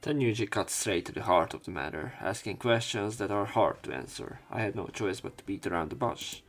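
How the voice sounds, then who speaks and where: A man's voice: fairly low pitch, lively intonation, fairly quick. A man reading a visual novel aloud as a let's play commentator, with casual, offhand remarks.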